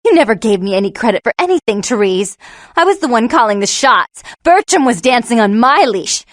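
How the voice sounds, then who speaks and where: High, girlish, taunting vampire vixen. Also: big pitch swings, fairly quick, no echo, studio quality. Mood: triumphant, bitter.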